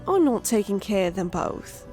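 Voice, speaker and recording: Man voicing a high, lively, sharp fantasy woman. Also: moderate pace, faint music behind. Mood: dour, annoyed. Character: sharp, money-loving fantasy woman, dour and excitable. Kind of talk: audiobook character voice.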